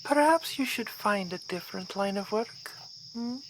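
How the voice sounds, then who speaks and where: A woman's voice: mid-range voice, lively intonation, moderate pace, close to an everyday voice. A woman playing a poised, amused vampire baroness in an ASMR roleplay, speaking in formal, theatrical phrases. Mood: teasing. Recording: outdoor ambience with clear insect noise.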